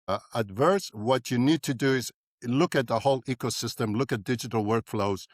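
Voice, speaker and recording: An older man from South Africa, a dentist guest in a podcast interview, talking in an animated way and often tagging his points with "right?". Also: medium pitch, normal speed, dry recording, clean studio sound.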